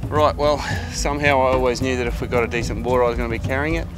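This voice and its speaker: A man hosting a fishing show outdoors, joking and laughing in a cheeky, excitable way. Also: medium pitch, fast. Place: outdoors in bush and hills. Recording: outdoor clip with loud music over it.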